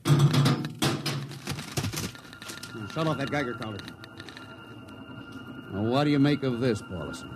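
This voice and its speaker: An actor playing an urgent, authoritative starship captain in a 1950s radio drama, barking clipped orders. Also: male voice, medium pitch, expressive, moderate pace, loud music behind the voice, natural voice. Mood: grim, determined. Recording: noisy old radio broadcast recording.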